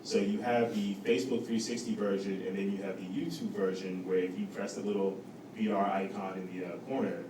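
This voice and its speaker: Low-voiced man in an echoey, noisy room. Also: little pitch movement, brisk, distant mic. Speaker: guest speaker. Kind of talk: conversational explanation at a panel talk. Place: indoor event room with audience.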